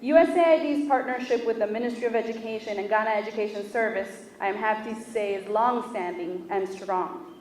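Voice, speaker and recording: Native Spanish-speaking woman, official at a podium, formal and deliberate speech, distant and echoey hall sound. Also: mid-range voice, a little mellow, a little hiss or hum. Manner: measured, deliberate, pauses between list items. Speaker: official speaking at a book launch. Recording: echoey room picked up from a distance.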